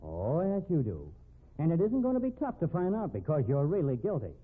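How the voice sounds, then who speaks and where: A man's voice: fairly high pitch, wildly swooping pitch, brisk, breathy edge, heavily acted. A tough male investigator in a 1950s radio drama. His voice swings widely and is a little muddy, with slangy, emphatic delivery. The recording is thin and tinny. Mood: hard, accusing. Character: hard-boiled male investigator, bullying, flustered when cornered. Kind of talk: 1950s radio mystery acting.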